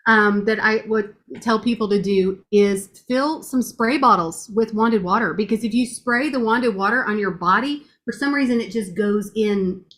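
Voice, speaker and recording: A woman's voice: mid-range voice, moderate pace, few pauses, fairly bright tone. A woman talks in a casual, gushing testimonial. Her voice is strong. She laughs often and keeps the talk chatty. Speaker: guest giving a testimonial.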